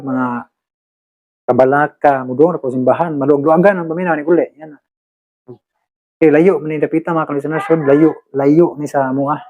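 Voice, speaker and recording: A man's voice: fairly high pitch, darkish tone. A man hosting a radio show in an informal, chatty way, often addressing his listeners. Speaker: radio host. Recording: thin, phone-like band-limited sound.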